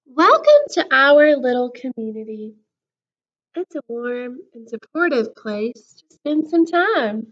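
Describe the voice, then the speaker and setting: A woman voicing a young, earnest, self-doubting cookie who tells a storybook tale in a friendly, expressive way. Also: sits high, slow, muffled recording, exaggerated voice. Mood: cheerful, welcoming.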